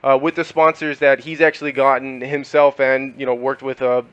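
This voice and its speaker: A man's voice: medium pitch, level tone, fairly quick, a bit bright, smooth, no echo, dull audio. A young man hosting a gaming podcast, chatting loosely with many fillers and laughs. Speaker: podcast host. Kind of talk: casual podcast chat.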